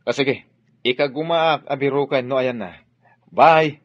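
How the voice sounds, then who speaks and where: An actor playing a concerned, admonishing godfather with a husky voice in a radio drama. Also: medium pitch, measured pace, natural voice. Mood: surprised, helpful. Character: concerned, scolding godfather, older family friend. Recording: dry, muffled lo-fi radio drama.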